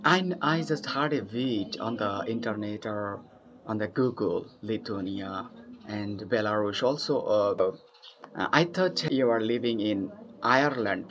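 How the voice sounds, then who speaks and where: A young man from Bangladesh with a Bangladeshi accent, chatting eagerly in English practice, often laughing and pausing with fillers. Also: on the low side, fairly bright tone. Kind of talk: casual conversation practice. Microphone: video call. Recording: dull lo-fi call audio.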